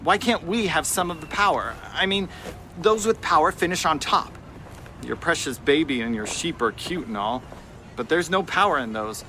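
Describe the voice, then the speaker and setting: A man's voice: a little high, animated, moderate pace, pushed and bright, slight background noise. A man playing a cynical, ambitious young runaway slave, brash and dismissive, in a family audio drama. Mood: scheming, determined.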